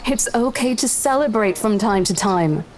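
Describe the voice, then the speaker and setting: A woman's voice: medium pitch, lively intonation, normal speed, a little mellow, soft background music, close to an everyday voice. A woman playing a brash, party-loving saleswoman in a visual novel game, teasing and pushy with a theatrical playfulness. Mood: breezy, coaxing.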